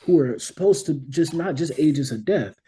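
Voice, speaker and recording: A man with a dark, mellow voice and a husky edge speaks as a guest explaining theory in conversation. He is emphatic and keeps checking in with short tag questions as he builds each point. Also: a little high, lively intonation, normal speed. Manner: emphatic, checks in with "right?" and "you know what I mean?". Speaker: interview guest, scholar.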